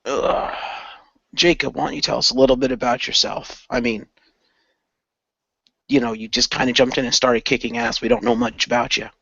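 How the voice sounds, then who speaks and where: A man's voice: mid-range voice, steady tone, moderate pace, vocal fry, pushed and bright, slightly rough, no echo, lo-fi sound, exaggerated voice. A man playing a wry young shapeshifting hero in an audio role-play. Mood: casual. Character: Jeff, young hero who shifts into lion form, wry.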